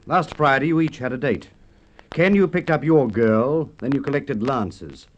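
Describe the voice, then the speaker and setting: An actor playing a firm, methodical police inspector with an Australian accent, in Golden Age radio drama style. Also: male voice, mid-range voice, measured pace, close to an everyday voice. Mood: methodical, probing. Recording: dull, hissy old radio recording.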